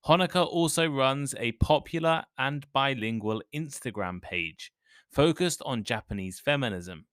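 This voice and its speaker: Man, podcast host, clear measured delivery, mid-pitched voice, dry close sound. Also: expressive, a bit bright, no room sound. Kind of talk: scripted intro then casual interview.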